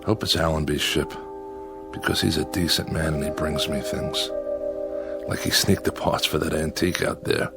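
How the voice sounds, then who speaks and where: An actor playing a lonely convict exiled on a desert asteroid in an audio drama. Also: male voice, a bass voice, fairly even intonation, moderate pace, vocal fry. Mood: brooding, weary. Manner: brooding to himself, eager and hospitable with visitors. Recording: music bed under the voice.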